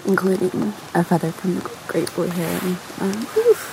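A woman making informal, halting remarks to a small group. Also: lowish voice, expressive, vocal fry. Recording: noisy outdoor field recording.

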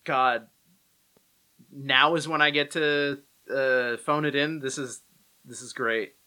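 A man co-hosting a podcast, joking and reacting quickly. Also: medium pitch, steady tone, pushed and bright, clean tone. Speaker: podcast co-host. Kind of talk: casual podcast chat.

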